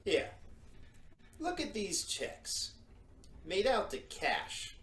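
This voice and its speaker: A man's voice: high voice, huge pitch swings, extremely slow, fairly bright tone, echoey room, exaggerated voice. A man playing a sly, insolent secretary turned schemer in an old-time radio drama, smug and needling. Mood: pushy.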